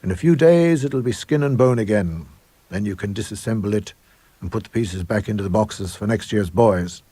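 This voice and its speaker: A man's voice: lowish voice, normal speed, warm tone, husky, no echo. A man voicing a proud, sinister older school headmaster in a supernatural tale, pompous and theatrical in his delivery. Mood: brisk, callous. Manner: theatrical, declamatory, grandiose.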